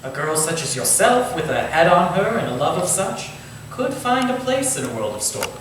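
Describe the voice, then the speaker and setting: An actor playing a genial, courtly Victorian gentleman writer with a formal, eloquent, theatrical way of talking, in a staged reading. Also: a little high, very animated, moderate pace. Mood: encouraging. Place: indoor staged reading, distant microphone. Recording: very echoey room from far off, faint noise.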